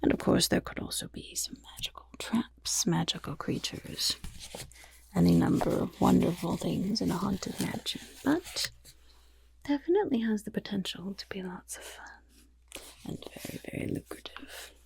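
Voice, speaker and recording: A woman's voice: medium pitch, moderate pace, a little mellow. A woman playing a chatty, roguish goblin guild member in a whispered ASMR fantasy roleplay, with casual, conspiratorial delivery and wry asides. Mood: intrigued, hushed. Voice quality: breathy, whispery.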